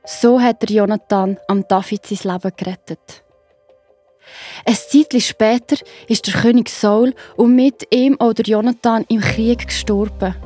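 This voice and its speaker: A woman's voice: on the high side, mellow. A woman with a Swiss German accent narrating a scripted Bible story for children. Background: music.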